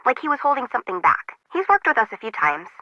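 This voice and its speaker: Quirky, anxious young female radio operator for a game: animated, slangy, slightly muffled radio sound. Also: a little high, fast talker, no echo. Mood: dry, chatty. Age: young adult. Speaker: harbor control tower operator. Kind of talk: video game voice acting.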